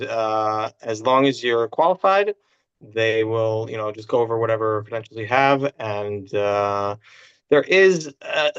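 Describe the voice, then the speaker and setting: A man, a family doctor on a webinar panel, reading out a question and then answering it haltingly over a video call. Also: medium pitch, a bit bright, clear, resonant tone. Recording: dull lo-fi call audio.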